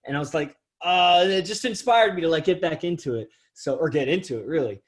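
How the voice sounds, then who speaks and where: A man with a somewhat high voice hosts a podcast interview. He talks in an effusive, admiring way and rambles from one thought to the next. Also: animated, brisk. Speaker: podcast host. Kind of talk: casual interview talk.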